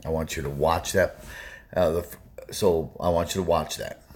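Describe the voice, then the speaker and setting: A man with a low baritone voice, hosting a music reaction video and talking casually in a rambling, emphatic way. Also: fairly even intonation, moderate pace, darkish tone. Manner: rambling and emphatic, many fillers.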